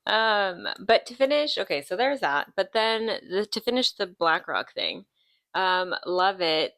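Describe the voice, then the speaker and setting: A woman's voice: medium pitch, very animated, measured pace, a bit bright, dry recording. A woman in her late twenties hosting a podcast, chatting casually and rambling through tangents with lots of ums. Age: 20s. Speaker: podcast host. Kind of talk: casual chat.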